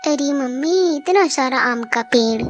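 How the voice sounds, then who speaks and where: A woman voicing an excited little boy in a cartoon story. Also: high-pitched, unhurried. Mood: excited, eager. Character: excited little boy, a mango-loving grandson. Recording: music behind the voice.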